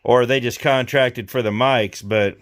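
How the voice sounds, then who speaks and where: A man hosting a repair vlog, talking casually and thinking aloud in an unhurried way as he demonstrates hands-on work. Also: medium pitch, steady tone. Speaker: host of a radio repair vlog.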